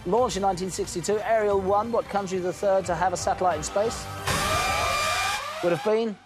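A man hosting a television quiz show, brisk and teasing with jokes between questions. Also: high voice, fairly even intonation, over loud music.

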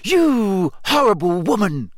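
A man voicing a clever, bossy schoolgirl with sharp, defiant speech in an audiobook. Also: a little high, very animated, a little slow, no room sound, clean studio sound, voice pushed up. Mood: furious. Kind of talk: audiobook character voice.

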